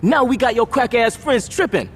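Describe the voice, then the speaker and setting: An actor playing a hot-headed, defiant young male gangster who talks loudly and swears. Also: extremely high pitch, animated, normal speed, in a large hall, soft background music. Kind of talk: video game cutscene acting.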